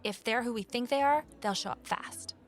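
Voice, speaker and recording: Cautious woman sleuth, played by an actress, higher voice. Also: moderate pace, close to an everyday voice. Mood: matter-of-fact. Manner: cautious, matter-of-fact. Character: wary amateur sleuth, woman, former journalist. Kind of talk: naturalistic audio drama dialogue.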